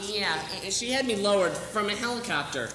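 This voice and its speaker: A woman's voice: medium pitch, animated, fairly quick. A teenage student playing a nervous, stammering male piano tuner in a school play. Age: teens. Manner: stammering, anxious. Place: high school stage. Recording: echoey school auditorium from far off, noisy.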